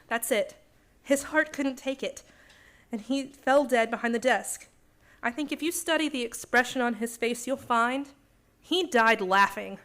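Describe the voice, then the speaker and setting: Actress as young female witness, fairly high voice, earnest, urgent, insistent, old-time radio mystery. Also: unhurried, a bit bright, slight background noise. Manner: emphatic, urgent explaining. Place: theater stage, performed live.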